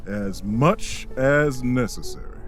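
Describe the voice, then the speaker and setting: A man's voice: mid-range voice, expressive, slow, soft background music. An actor playing a protective, caring man with a Southern US accent in an audio drama, folksy and earnest.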